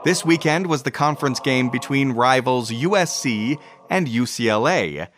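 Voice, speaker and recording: A man's voice: mid-range voice, animated, normal speed, a bit bright, a little hiss or hum. A man hosting a podcast with scripted, explanatory narration. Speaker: podcast host.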